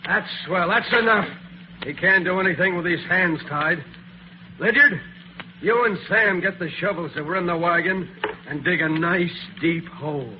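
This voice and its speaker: A man's voice: sits high, moderate pace, lightly acted. An actor in an old radio drama playing a ruthless gang boss who orders his henchmen around. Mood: gloating, commanding. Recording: muffled, noisy old radio recording.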